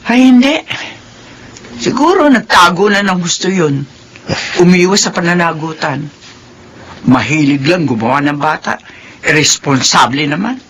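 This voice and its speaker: A woman's voice: lowish voice, animated, unhurried, pauses often, fairly bright tone, a little hiss or hum. A woman voicing a weary, self-sacrificing mother in a classic radio drama, talking emotionally and with many exclamations. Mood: worried. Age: middle-aged. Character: worn-out self-sacrificing mother of three grown children. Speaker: voice actress.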